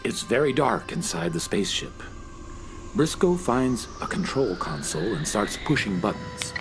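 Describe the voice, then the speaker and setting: Animated male storybook narrator over music. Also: fairly low pitch, normal speed. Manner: storybook, addressing child listeners directly. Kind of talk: scripted children's radio story narration.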